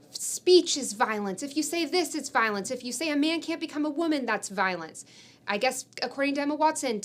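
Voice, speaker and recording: A woman's voice: fairly high pitch, a bit bright. A woman, co-hosting a podcast in an emphatic, informal, opinionated way, often saying "like". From San Francisco Bay Area, United States. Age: 20s. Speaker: podcast co-host. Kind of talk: opinionated conversational podcast talk.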